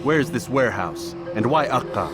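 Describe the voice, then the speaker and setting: An actor playing a stern, proud young assassin in a video game, with measured, formal speech. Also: male voice, mid-range voice, lively intonation, natural voice. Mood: probing. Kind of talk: video game cutscene acting. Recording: music behind the voice. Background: cinematic game music.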